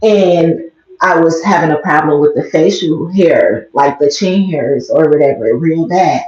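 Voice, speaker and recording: An American woman with a slightly raspy voice, talking quietly and casually in a rambling vlog, recorded on a headset mic in a small bathroom. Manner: low-key, talking quietly. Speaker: vlogger livestreamer. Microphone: headset mic close to mouth. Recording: muffled, echoey small room.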